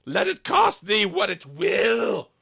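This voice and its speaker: Shrill, melodramatic fairy-tale husband. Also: male voice, wildly swooping pitch, slow, vocal fry, bright, forceful tone, husky, heavily acted. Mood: desperate resolve. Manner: melodramatic, declamatory, archaic diction. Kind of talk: parody audiobook performance.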